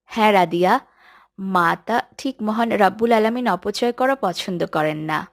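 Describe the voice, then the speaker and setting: A woman's voice: mid-range voice, a little slow, no echo, clean studio sound, voice pushed down, natural voice. A woman playing a kind, approving mother of a little girl in a children's cartoon. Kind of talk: children's moral cartoon voice acting.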